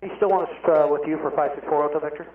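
A man's voice: on the high side, steady tone, racing, echoey room, distant mic. A man speaking as a pilot in brief replies over aviation radio. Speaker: airline or jet pilot. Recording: tinny, noisy cockpit radio.

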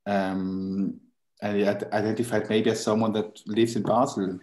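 A man in his thirties talks casually on a video call, often restarting his sentences. His fairly low voice carries a noticeable roomy echo from the book-lined room. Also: flat delivery, unhurried, darkish tone. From Switzerland. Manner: hesitant, many restarts and fillers. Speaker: book designer. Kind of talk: casual chat. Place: room with bookshelves.

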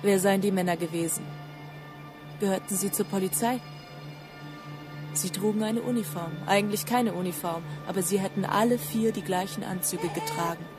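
An actress playing a storyteller in a literary radio drama, relaying old memories in a deliberate, earnest way. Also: female voice, mid-range voice, natural voice. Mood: insistent. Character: female narrator relaying an old man's insistent memories. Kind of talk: literary radio drama narration in reported speech. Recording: music behind the voice.

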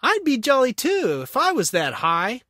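A man putting on a high-pitched sassy wife. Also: wildly swooping pitch, moderate pace, sharp tone, no room sound, studio quality, heavily acted. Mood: amazed. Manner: exclaiming, indignant. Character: sassy, scornful bossy wife in comic poem.

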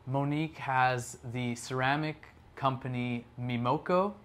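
A young man hosting a casual interview in a small indoor studio, upbeat and energetic, laughing often, on a lapel mic. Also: medium pitch, a little slow, slight background noise. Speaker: host.

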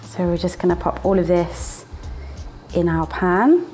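A woman's voice: on the low side, animated, measured pace, warm tone. Young woman, northern English accent, playful chatty cooking talk, kitchen, faint music behind. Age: young adult. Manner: chatty, playful, laughs often, sound words. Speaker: student cooking presenter. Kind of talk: casual cooking demonstration. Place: small indoor kitchen.

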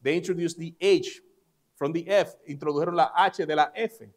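A man's voice: a little high, steady tone, normal speed. A male pastor, a native Spanish speaker, giving an emphatic sermon in a church service, saying each line in English and then repeating it in Spanish. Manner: emphatic, stressing key words. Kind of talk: bilingual sermon.

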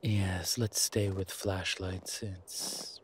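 Man acting as low-voiced, wry vampire gentleman. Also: fairly even intonation, noisy background. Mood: wry, cautious. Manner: refined, formal, wry phrasing with hesitant pauses. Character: dry, haughty old vampire gentleman, guarded and wry. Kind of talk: intimate roleplay audio drama.

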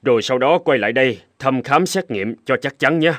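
A man voicing a calm, reassuring doctor in a cartoon, speaking in a measured, formal way. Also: medium pitch, natural voice. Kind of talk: animated cartoon dubbing.

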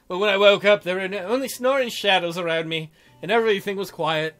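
High, bright-voiced male let's-player. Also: normal speed. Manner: jokey, theatrical, breaks into silly mock voices. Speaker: let's-play host. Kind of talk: reading a visual novel aloud with joking commentary. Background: game music.

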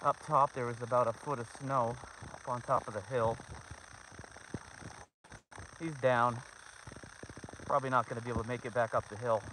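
A man's voice: mid-range voice, fairly even intonation, normal speed, pauses often. A middle-aged man chatting casually and informally to viewers and companions while livestreaming a walk outdoors on a handheld phone. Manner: informal, talks to viewers, son and dogs. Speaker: livestreamer on a family dog hike. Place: outdoors on a snowy trail. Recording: outdoor phone recording with clear background noise.

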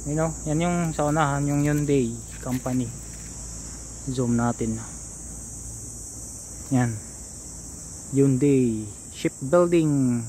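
A man's voice: medium pitch, takes it slowly, long pauses, dark tone, slight background noise. A Filipino man in his thirties with a Filipino accent, giving a casual walking tour vlog as he points things out to viewers. He speaks into a phone held at arm's length on an outdoor seaside park path. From Philippines. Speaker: vlogger. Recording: outdoor ambience with insects.

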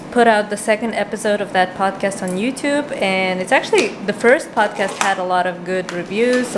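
A woman's voice: mid-range voice, noisy background. Chatty young woman vlogging to camera. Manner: chatty, laughs between phrases, many fillers. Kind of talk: casual vlog talk to camera. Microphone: handheld camera close to the face.

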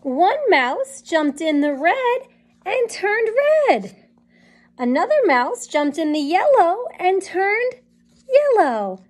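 High, animated woman reading to small children. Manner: sing-song, encouraging, asks questions and pauses. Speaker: children's story time reader. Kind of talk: animated picture-book read-aloud for young children. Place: small indoor classroom or playroom.

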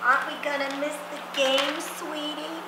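A woman's voice: sits high, flat delivery, moderate pace, close to an everyday voice. A woman playing a naive, good-natured middle-aged wife in a staged sitcom reading, guileless and a little fretful. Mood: naive, coaxing. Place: theatre stage, seated, distant camera mic. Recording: echoey stage, noisy background.

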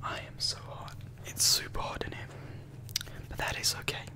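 A young man doing close-up whispered ASMR, repeating words and short phrases over and over into a close microphone. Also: normal speed. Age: young adult. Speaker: ASMR video creator, host. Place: small bedroom, indoors. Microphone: close desk microphone with foam windscreen.

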